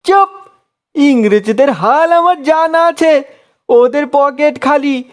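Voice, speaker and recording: A woman playing a stern, sharp-tongued royal court official in a cartoon, accusing and commanding. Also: high voice, slow pace. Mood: scornful. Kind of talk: cartoon comedy dialogue.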